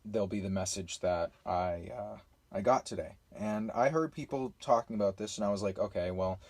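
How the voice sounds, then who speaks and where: A man's voice: fairly low pitch, normal speed, a little mellow, no echo. A man talking frankly and a little hesitantly in an unscripted YouTube vlog.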